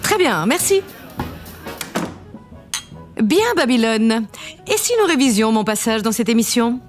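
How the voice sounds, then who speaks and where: Actress as haughty, animated lady president. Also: on the high side, unhurried, soft background music. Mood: self-satisfied. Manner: self-satisfied, imperious. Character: vain, haughty, bored female president of a spaceship. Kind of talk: comedic audio drama acting.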